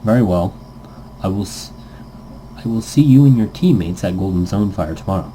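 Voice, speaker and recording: A man's voice: low-pitched, lively intonation, normal speed, warm tone, slight background noise. A man doing a stiff, formal pro gamer. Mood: stiff, reserved. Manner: stiff, precise, formal phrasing.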